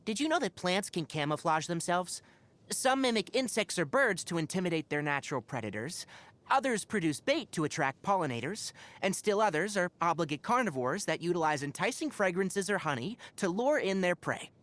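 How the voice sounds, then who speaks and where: An actor playing a young fox-eared forest ranger in a video game, scholarly and patient, speaking in an articulate, instructive and gently wry way. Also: male voice, high voice, very animated, brisk. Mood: composed, instructive. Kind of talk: scripted game character voice lines.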